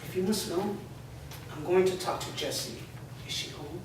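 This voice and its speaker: A man's voice: high voice, normal speed, natural voice. A man playing a wary young son. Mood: guarded. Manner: guarded, suspicious. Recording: echoey room from far off, noisy.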